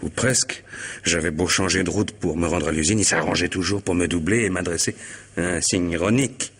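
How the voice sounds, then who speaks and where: A husky, low-voiced, haunted man. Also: fairly bright tone. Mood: aggrieved. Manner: halting, repeats words, brooding. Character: anguished, disillusioned man who feels hunted and driven mad. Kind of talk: French radio mystery drama acting.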